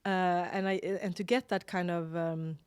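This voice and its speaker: A woman's voice: fairly low pitch, steady tone, normal speed, clear, resonant tone, dry recording. A middle-aged woman from Sweden, a designer and illustrator, talking in a casual interview with frequent restarts and hesitations. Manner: restless, many restarts and hesitations.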